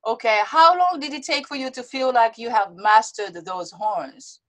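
A bubbly woman with a Japanese accent hosts a video-call interview show, laughing often. Her voice sits a little higher than most women's. She sounds like she is on a webcam. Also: animated, normal speed, fairly bright tone. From Japan, Osaka. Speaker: host. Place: indoors before a movie-themed backdrop.

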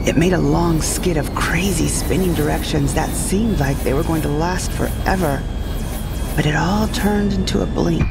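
A woman's voice: sits low, creaky. A woman playing a wry, curious retired space heroine who narrates a comic sci-fi story with dramatic flair.

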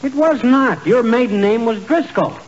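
An actor playing a brash, flustered married man with a folksy, excitable way of talking in a 1940s radio comedy. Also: very high-pitched, normal speed. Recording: muffled, hissy 1940s radio before audience.

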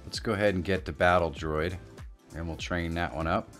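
A middle-aged man hosting a casual software tutorial. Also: sits low, moderate pace, soft background music. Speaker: tutorial host. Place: small indoor home studio.

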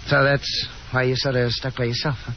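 A man's voice: medium pitch, flat delivery, brisk, natural voice. An actor playing a friendly, confident young man with a boyish, folksy manner in 1950s radio drama. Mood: friendly, encouraging. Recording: muffled 1950s radio broadcast, hissy noise.

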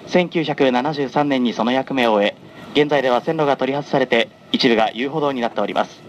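Male train announcer over clear carriage noise. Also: mid-range voice, low-quality recording. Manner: formal, measured, polite. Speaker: train conductor making onboard announcements. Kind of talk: formal scripted train announcement. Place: onboard a running train, over the announcement system. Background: train running noise.

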